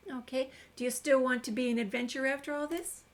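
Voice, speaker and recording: A woman's voice: sits high, steady tone, quick pace. A woman gamer giving a chatty, casual walkthrough commentary, reading dialogue options aloud as she plays. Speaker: gamer narrating a game walkthrough.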